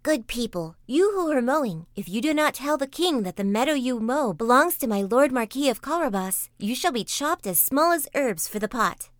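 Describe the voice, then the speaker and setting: A woman's voice: high-pitched, expressive, normal speed, few pauses, no echo, pitched above the performer's own voice. Woman reading as a cunning storybook cat, dry sound. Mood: threatening. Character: cunning male cat, bossy and flattering, storybook trickster.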